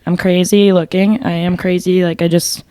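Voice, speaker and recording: A woman's voice: fairly low pitch, little pitch movement, normal speed, smooth, no echo. A young woman, a makeup artist, talking bluntly in a casual interview, swearing freely and filling her talk with "like". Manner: blunt, loud, swears freely, many "like" fillers.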